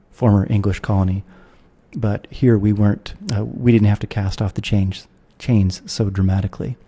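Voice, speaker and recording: A thirty-something American man talks through ideas at a measured, explanatory pace. His voice is dark and mellow with a touch of huskiness. He speaks into a studio mic on a video call. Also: fairly low pitch, breathy edge. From United States. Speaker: guest philosopher. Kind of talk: podcast interview. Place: room at home with guitars. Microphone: studio mic with headphones, video call.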